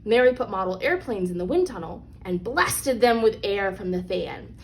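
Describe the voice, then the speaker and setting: A woman reads a children's picture book aloud as a storyteller, with deliberate, emphatic phrasing for young listeners. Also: medium pitch, animated, darkish tone. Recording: clearly noisy background.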